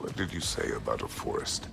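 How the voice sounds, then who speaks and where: An actor playing a gruff, battle-worn warrior in a video game, terse and formal in a gravelly voice. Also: male voice, brisk, background noise, lightly acted. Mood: solemn. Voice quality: deep, gravelly.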